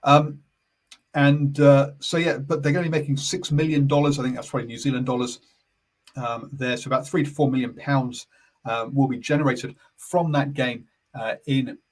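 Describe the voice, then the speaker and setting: An English man hosting a casual sports chat on a live stream, calm and easygoing, halting with many fillers and self-corrections. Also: mid-range voice. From England. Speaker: sports radio host.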